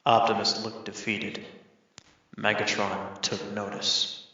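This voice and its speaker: A man's voice: fairly low pitch, little pitch movement, takes it slowly, pushed and bright. A man reading scripted third-person narration for an audio drama. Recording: very echoey, slightly muffled.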